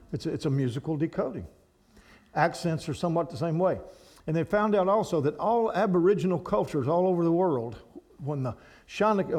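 A man preaching to a church congregation, animated and rambling, with frequent restarts. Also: a little high. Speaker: guest speaker at a church. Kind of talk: sermon to a congregation.

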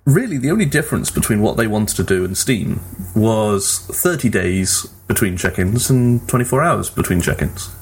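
A man's voice: fairly low pitch, lively intonation, moderate pace, hardly any pauses, slightly rough. A man chatting casually as a podcast host, with a wry, pedantic way of putting things. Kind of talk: casual gaming podcast chat.

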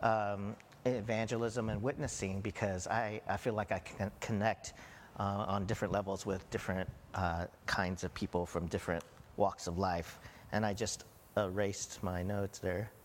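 A man's voice: lowish voice, steady tone, normal speed, a little hiss or hum. A middle-aged American man preaching a sermon as pastor, talking conversationally with frequent "right?" asides. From United States. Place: church hall. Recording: long hall reverb.

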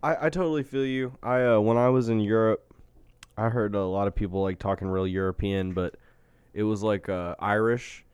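A man with a low voice chats on a casual podcast, joking and swearing freely. He laughs often. The sound is dry and close. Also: fairly even intonation, normal speed, darkish tone, clean tone, no room sound, muffled recording. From Indiana, US. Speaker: podcast co-host.

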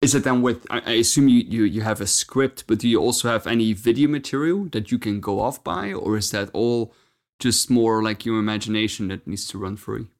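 A man's voice: on the low side, fairly quick. A male co-host asking questions hesitantly, with many fillers and restarts. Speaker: podcast co-host. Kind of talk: casual interview chat.

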